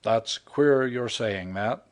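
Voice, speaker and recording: A man playing a gruff, unwelcoming old caretaker in a storybook reading. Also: medium pitch, takes it slowly, natural voice. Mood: grudging. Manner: curt.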